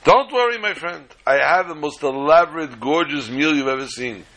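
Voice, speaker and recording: Man playing an insistent rabbi, bright and raised. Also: a little high, expressive, normal speed. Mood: coaxing. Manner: insistent, repeating himself emphatically. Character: kindly but unyielding male rabbi host.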